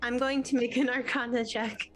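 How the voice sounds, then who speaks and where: A woman's voice: fairly high pitch, level tone, moderate pace. A woman playing a tabletop role-playing game, chatting casually and stating what her character does. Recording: music behind the voice. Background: music.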